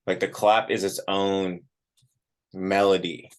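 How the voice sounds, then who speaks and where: A man with a low-pitched voice gives a casual interview as a musician. His phrasing is informal and conversational, peppered with "like.". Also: level tone, takes it slowly, clear, resonant tone. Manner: informal, frequent "like" as filler.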